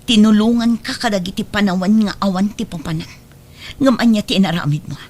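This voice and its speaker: A woman's voice: medium pitch, a little fast, a little mellow, natural voice. Actress as a firm wronged Ilocano mother. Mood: reproachful. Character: wronged protective mother, firm, hurt and resolute. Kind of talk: Ilocano radio drama acting. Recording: slightly noisy radio drama track.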